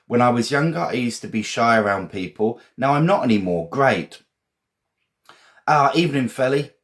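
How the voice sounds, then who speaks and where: A man's voice: mid-range voice, expressive, moderate pace, a bit bright. A man from England with an English accent, teaching a casual live vocabulary lesson in a chatty, encouraging, informal way and often repeating phrases.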